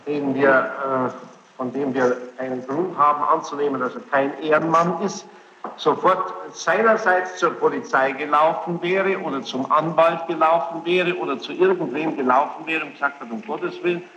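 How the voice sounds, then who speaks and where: A man questioning a witness as a judge in formal, halting German phrasing, insistent and reproachful. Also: fairly high pitch, bright, forceful tone. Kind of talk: courtroom interrogation, spontaneous formal speech. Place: large echoing courtroom. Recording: dull, noisy archive courtroom tape, echoey hall.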